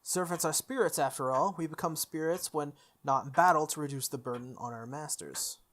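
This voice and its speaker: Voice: mid-range voice, fairly quick, fairly bright tone, natural voice. A video game player voicing a sardonic male spirit archer servant, formal and teasing, from a visual novel. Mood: matter-of-fact.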